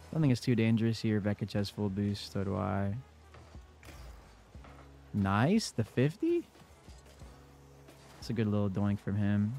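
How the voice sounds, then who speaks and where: A man's voice: fairly low pitch, lively intonation, measured pace, creaky, long pauses, mellow, faint music behind. A young man with a congested voice giving casual commentary over his own gameplay in a laid-back play-by-play. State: congested from a cold. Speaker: gaming YouTuber.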